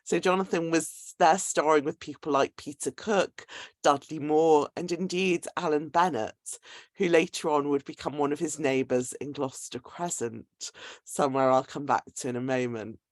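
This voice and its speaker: Female event host, low-pitched and articulate, explanatory delivery of a semi-scripted introduction, dry recording. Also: steady tone, moderate pace, darkish tone, dry recording. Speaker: host introducing a guest speaker.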